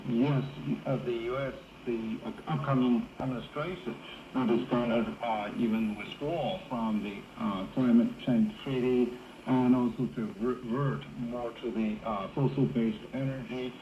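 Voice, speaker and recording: A man giving commentary as a discussion guest, speaking haltingly with frequent pauses. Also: mid-range voice, steady tone, dull audio. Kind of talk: interview discussion. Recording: echoey, very noisy shortwave radio reception.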